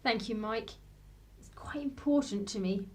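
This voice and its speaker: A woman's voice: fairly high pitch, unhurried, darkish tone, natural voice. A woman playing a polite, composed lady with a secretive past in a radio drama, genteel and wry. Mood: polite, reflective.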